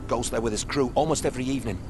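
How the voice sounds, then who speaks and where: An actor playing a defensive, evasive, corrupt Victorian customs officer in a video game. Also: male voice, medium pitch, rapid-fire, noisy background, natural voice. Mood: reluctant. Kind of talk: video game cutscene acting. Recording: music behind the voice.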